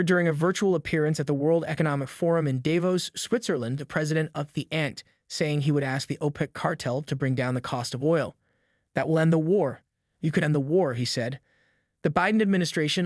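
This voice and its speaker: A man's voice: a little high, no echo, studio quality. A man reading a news article aloud as scripted narration.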